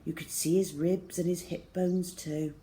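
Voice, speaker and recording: Storyteller: middle-aged female, low register, very slow measured read for a young audience. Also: fairly even intonation, warm tone, a little hiss or hum. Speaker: storyteller for schoolchildren. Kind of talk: reading a children's novel aloud. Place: small plain room indoors.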